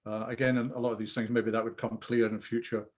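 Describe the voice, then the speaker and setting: A man's voice: on the low side, level tone, fast, a little mellow. A man giving informal script feedback in a hesitant, rambling way, often repeating himself. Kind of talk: informal critique discussion.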